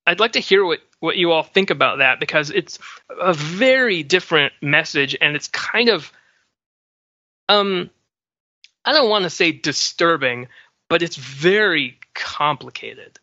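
A man's voice: fairly high pitch, animated, measured pace, pushed and bright, no room sound, highs cut off. A man speaking as a podcast panelist, thinking aloud in a tentative, reflective way during casual analytical discussion.

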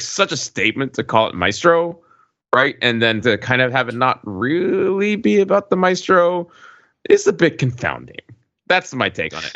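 A man's voice: medium pitch, bright, forceful tone. A man on a podcast panel chatting casually and giving opinions, on a slightly dull recording.

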